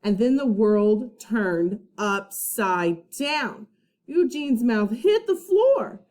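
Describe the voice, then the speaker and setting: Expressive, high-pitched woman reading to children. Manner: dramatic emphasis, pauses to question young listeners. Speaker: first-grade teacher. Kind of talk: expressive read-aloud of a children's storybook.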